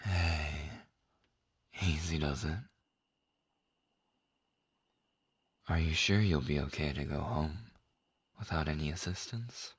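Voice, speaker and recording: Man acting as a very deep-voiced, protective boss. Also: a little slow, husky, heavily acted. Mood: gentle concern. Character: concerned, protective male boss, softly caring. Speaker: voice actor in boyfriend audio roleplay. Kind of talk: intimate ASMR roleplay acting.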